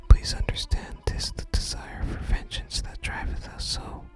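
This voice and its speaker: An ASMR gaming host speaking entirely in a soft, breathy whisper, narrating play and reading game dialogue aloud. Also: unhurried. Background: game music.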